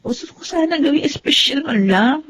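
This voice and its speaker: High-pitched, animated Filipino older sister. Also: female voice, normal speed. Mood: wheedling. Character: pleading, touchy older sister, easily offended. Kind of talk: Filipino radio drama acting.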